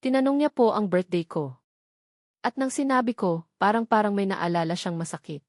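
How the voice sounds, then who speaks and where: A woman's voice: medium pitch, moderate pace, a little mellow, no room sound, studio quality, lightly acted. A woman narrator voicing an anxious, timid young maid who speaks hesitantly. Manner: hesitant, trembling.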